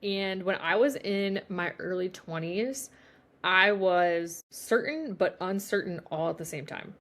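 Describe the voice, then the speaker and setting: A woman's voice: mid-range voice, a little slow, fairly bright tone. A woman in her late twenties hosting a family podcast, chatty and steering the talk with plenty of fillers. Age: 20s. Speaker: podcast host.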